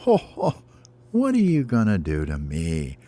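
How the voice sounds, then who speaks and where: Man acting storybook dragon, menacing, mocking, slow drawn-out taunts, widely swinging pitch. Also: wildly swooping pitch, warm tone, full character voice. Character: menacing, mocking male dragon who turns pleading.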